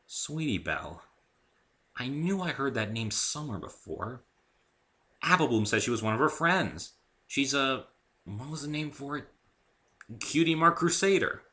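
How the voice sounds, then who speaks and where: A man's voice: mid-range voice, animated, unhurried, pauses often, sharp tone, pitched above the performer's own voice. A man voicing a polite, curious male visitor in a story, casual and a little hesitant.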